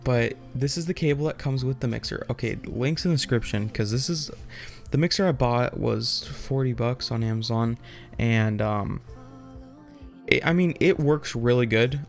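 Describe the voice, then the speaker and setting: Young man, casual tech tutorial host, rambling informal delivery, mid-pitched voice, music in the background. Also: gravelly. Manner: rambling, informal, loosely explanatory.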